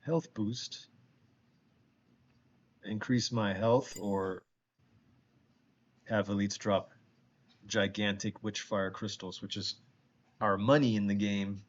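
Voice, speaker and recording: A man's voice: on the low side, fairly even intonation, slow pace, long pauses, lo-fi sound. A man with a stuffed-up nose from allergies, casually chatting with his viewers while playing a game and thinking his choices through aloud. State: congested from allergies. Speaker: streamer. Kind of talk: casual game streaming chat.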